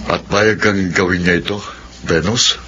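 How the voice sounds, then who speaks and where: Stern father, middle-aged, low register, halting and commanding, radio drama on a dull, noisy track. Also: fairly even intonation, pushed and bright. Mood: grudging, stern. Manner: stern, halting, authoritative questioning. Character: stern, conditional-loving father of pregnant daughter.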